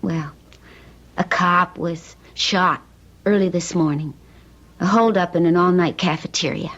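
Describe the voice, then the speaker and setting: A woman's voice: fairly low pitch, a little slow, fairly bright tone. An actress playing a policeman's anxious, caring wife in an old-time radio drama, speaking in a coaxing, hesitant way. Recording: dull, lo-fi radio recording.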